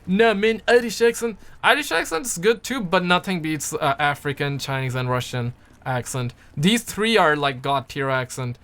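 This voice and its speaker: A man's voice: fairly high pitch, lively intonation, moderate pace, few pauses, a bit bright. A young man from Pakistan, chatting casually on a livestream and answering viewer comments in a rambling, playful way that repeats words. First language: Urdu.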